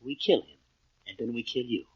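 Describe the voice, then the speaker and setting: A cold, deliberate gangster chief with a rough, husky voice. He threatens with stiff courtesy, in the manner of a 1940s radio crime play. The recording is dull and lo-fi. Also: medium pitch, no echo. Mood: coldly menacing. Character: cold, menacing male gang boss, mock-courteous and deliberate.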